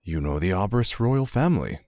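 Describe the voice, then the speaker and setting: Fantasy druid wanderer, male actor: very deep, formal, musing, expressive melody. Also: brisk, no echo. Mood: curious, musing. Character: contemplative, formal male druid traveler from the mountains. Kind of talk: fantasy audio drama acting.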